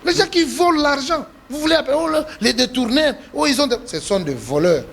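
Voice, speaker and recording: A Congolese politician being interviewed, speaking French with a Congolese accent, forcefully repeating and stressing his points, his voice rising when indignant. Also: lively intonation, a little fast, gravelly. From Democratic Republic of the Congo. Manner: emphatic, repeats himself, pitch jumps when indignant. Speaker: politician guest.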